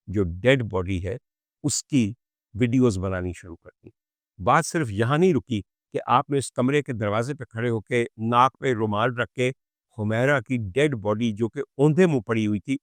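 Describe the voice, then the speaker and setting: Male commentator, Pakistani accent, mid-pitched voice; indignant, rhetorical delivery for a true-crime monologue, recorded dry. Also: no echo. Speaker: commentator, presenter.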